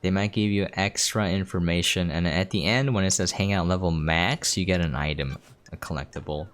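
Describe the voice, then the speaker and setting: A man's voice: low-pitched, fairly even intonation, fairly quick, fairly bright tone. A man giving casual gameplay commentary, thinking aloud as he goes. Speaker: game streamer. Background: game music.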